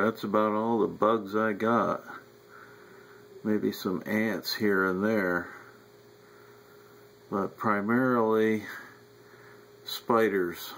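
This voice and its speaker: A man's voice: low voice, gravelly. A man from upstate New York talking casually and folksily while filming in his cool basement on a handheld camera. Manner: folksy, unhurried, with frequent fillers. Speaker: home videographer. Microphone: handheld camera mic.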